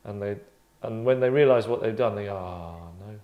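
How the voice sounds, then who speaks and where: Man leading an actors' read-through, casual and halting, rambling, deadpan comic asides. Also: lowish voice, lo-fi sound. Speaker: table read leader among actors.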